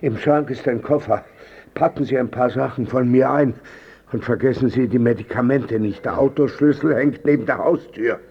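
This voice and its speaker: A man's voice: medium pitch, normal speed, lo-fi sound, lightly acted. An actor playing a cantankerous, frail old male painter in a radio drama, grumbling, curt and imperious. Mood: commanding. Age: older.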